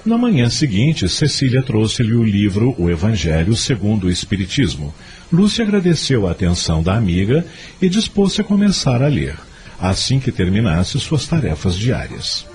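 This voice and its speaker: A male narrator of an audio novela with a fairly low voice. His scripted storytelling is expressive and very animated in pitch, with soft music underneath. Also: moderate pace.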